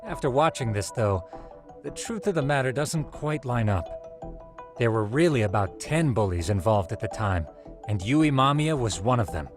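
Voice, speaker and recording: A man's voice: mid-range voice, lively intonation, moderate pace, natural voice. A man playing an inquisitive, analytical investigator who explains things articulately in an English game dub. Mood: explanatory. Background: faint background music.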